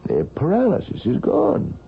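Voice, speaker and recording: Earnest, clinical male doctor, gravelly and lively, 1970s radio drama. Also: high voice, a little hiss or hum, full character voice. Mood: explanatory. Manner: deliberate, clinical explaining. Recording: tinny, band-limited vintage radio recording.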